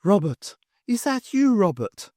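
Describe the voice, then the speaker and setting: A man voicing the ghost of a dead woman with a sing-song Scottish Highland accent, speaking through a child and accusing her husband. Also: sing-song, measured pace, darkish tone, no room sound, clean studio sound. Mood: sorrowful accusing. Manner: accusing, sorrowful. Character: grieving pious Highland wife's ghost, accusing.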